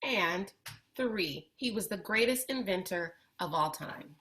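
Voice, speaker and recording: Female storyteller for children's read-aloud, unhurried and bright, expressive delivery. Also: mid-range voice. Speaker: storyteller reading a picture book aloud.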